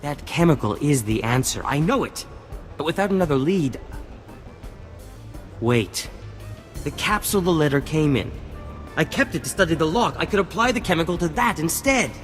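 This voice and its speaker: An actor playing a vain, charming scientist in a video game, talking in grandiose, courtly phrases. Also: male voice, a little high, expressive, normal speed, pauses often, a bit bright, long reverb tail. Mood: eager. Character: flamboyant, vain, charming male scientist and self-styled genius. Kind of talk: scripted video game acting. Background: music.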